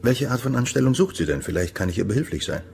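A man's voice: medium pitch, expressive, fast talker, creaky, darkish tone, slight background noise. An actor playing a casual, probing male visitor who asks questions in a radio drama. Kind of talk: German radio drama acting.

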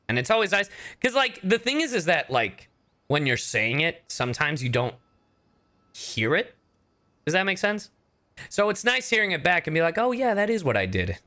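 Animated, bright-voiced male streamer. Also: a little high. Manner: casual, animated, halting mid-thought. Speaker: streamer and voice actor. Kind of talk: casual livestream chat over gameplay.

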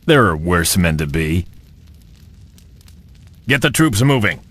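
An actor playing a ruthless, arrogant young heir to a warlord clan, speaking imperiously and with menace in a video game. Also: male voice, deep voice, very animated, slow, fairly bright tone, no echo, exaggerated voice. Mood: cold, possessive. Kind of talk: video game cutscene acting. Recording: dramatic game music behind.

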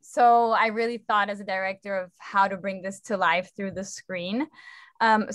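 A woman's voice: mid-range voice, a bit bright, no echo, studio quality. A woman in her twenties, a director, talking casually and appreciatively on a video call. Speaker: play director. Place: indoor room on a video call. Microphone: computer video call.

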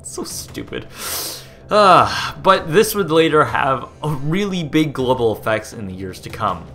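Young American guy, upbeat host, animated bright read, casual and joking. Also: medium pitch. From United States. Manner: jokey, excitable, swears and trips over place names. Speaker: host narrating a documentary video. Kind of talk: casual narration over footage.

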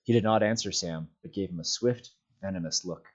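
A man reading a novel aloud as a volunteer storyteller, with expressive, dramatic narration. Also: low voice, a little fast, muffled recording. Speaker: volunteer community reader.

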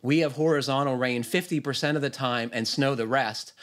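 A man's voice: mid-range voice, brisk, a bit bright. A man hosting a podcast over a video call, reading listener emails aloud with plenty of ums and uhs. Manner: hesitant, many fillers. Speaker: podcast host. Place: home room, video call.

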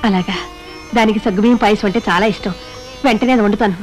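A woman's voice: medium pitch, quick pace, over loud music, natural voice. A woman playing a soothing, caring mother-in-law. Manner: soothing, reassuring.

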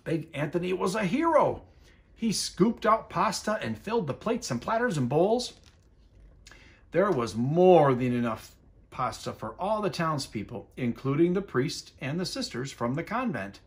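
Animated man reading a storybook aloud. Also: on the high side, measured pace. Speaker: school superintendent reading a storybook. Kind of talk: read-aloud children's story narration. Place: indoors at home, seated area by a window.

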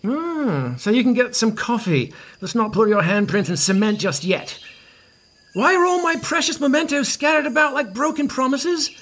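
A man's voice: very high voice, sing-song, normal speed, a bit bright, exaggerated voice. A man acting as a vain, hungover, washed-up rock star in an audio comedy, pompous, petulant and sarcastic. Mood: pompous indignation.

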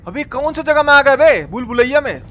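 A man's voice: very high-pitched, expressive, brisk, a bit bright, highs cut off. A man with a Bihari accent giving exclamatory, slangy, sweary live game commentary and greeting his chat. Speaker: game streamer. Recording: noisy, game sounds behind.

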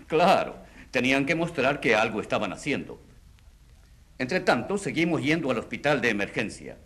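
Aggrieved, animated village schoolteacher. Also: male voice, medium pitch, normal speed. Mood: bitter, worried. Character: aggrieved, plainspoken male village schoolteacher witness. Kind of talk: dramatic radio play acting.

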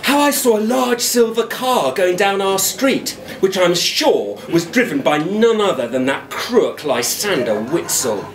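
A middle-aged man gives a theatrical live reading of a children's book. He takes his time with dramatic pauses and very lively pitch, in an echoey room. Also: noisy background. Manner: expressive, deliberate, dramatic pauses. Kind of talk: theatrical storybook narration in a schoolboy's first person. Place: wooden-beamed indoor venue at a live reading.

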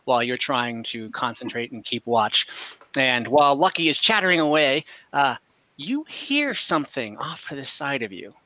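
Bright-voiced man running a tabletop game. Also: medium pitch, sing-song, moderate pace, dry recording. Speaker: game master. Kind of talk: narrating a tabletop role-playing game, casual. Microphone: computer microphone on a video call. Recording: dull, compressed online call audio.

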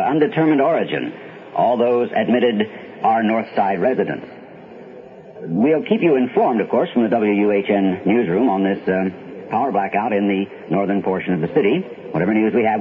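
Low-voiced vintage radio newsman. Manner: measured, formal, hesitating over copy. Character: steady male radio newsman reading bulletins. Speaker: radio newscaster. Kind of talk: 1970s radio news bulletin, dramatized. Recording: dull, noisy vintage 1970s radio broadcast.

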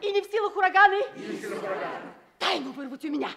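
An actress playing a proud, cruel young princess in a theatrical radio play. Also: female voice, very high voice, fairly quick, a loud crowd, heavy background noise. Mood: imperious, riddling.

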